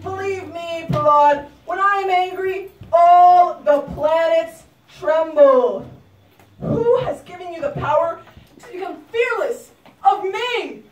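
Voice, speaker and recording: A woman's voice: very high voice, slow. A woman voicing a furious, boastful demon king and father in a puppet show, with commanding, theatrical delivery. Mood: menacing. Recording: echoey room, far from mic.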